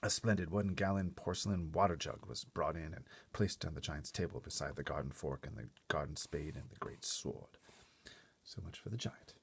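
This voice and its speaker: Very deep, gravelly male storybook reader. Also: moderate pace. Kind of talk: children's book readaloud with casual explanatory asides.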